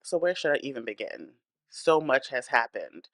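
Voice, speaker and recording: A woman's voice: extremely low pitch, big pitch swings, moderate pace, over music. A woman hosting a celebrity gossip channel, speaking in a blunt, opinionated, chatty way while congested from a cold and breathing hard. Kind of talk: casual celebrity gossip commentary.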